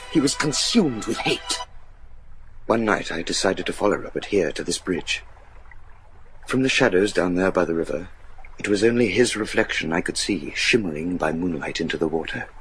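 An earnest, troubled young gentleman in a gothic radio drama. He has a deep voice and tells his tale gravely and formally, with faint music behind. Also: poor audio. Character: earnest, troubled young man recounting his friend's fate. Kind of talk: dramatic storytelling in radio play.

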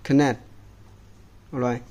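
A man's voice: medium pitch, flat delivery, slow pace. A man with a Chinese accent giving a terse, step-by-step product demonstration in short, clipped English phrases as a non-native speaker.

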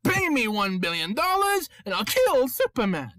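A man voicing a gleeful, theatrical clown villain in a cartoon fan dub, mock-friendly and drawn-out. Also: extremely high pitch, wildly swooping pitch, sharp tone, heavily acted. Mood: scheming.

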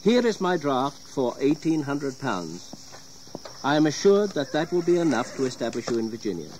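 A man's voice: medium pitch, big pitch swings, moderate pace, darkish tone, slight background noise, close to an everyday voice. An actor playing a stern, disappointed English squire and father, grave and formal, in an audio history drama.

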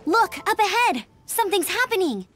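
A woman voicing a spirited, friendly young female scout in a video game, earnest and energetic. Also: very high-pitched, moderate pace, exaggerated voice. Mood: eager. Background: music.

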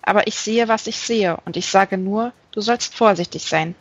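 A woman's voice: mid-range voice, moderate pace, no echo, close to an everyday voice. A woman reading the part of a stubborn, sceptical old mother in a storybook, blunt and admonishing. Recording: slightly dull recording.

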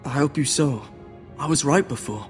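An actor playing a humble, earnest young servant in a fantasy video game, deferential and coaxing in manner. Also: male voice, on the high side, normal speed, soft background music, lightly acted. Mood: earnest, pleading. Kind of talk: dramatic video game acting.

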